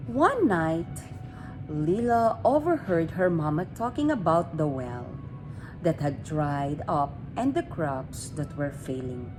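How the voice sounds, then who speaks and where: Female read-aloud narrator for young children; expressive, slow delivery with big pitch swings, clear background noise. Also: music in the background. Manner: expressive, deliberate phrasing with drawn-out words. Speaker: children's story reader. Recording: clearly noisy amateur recording.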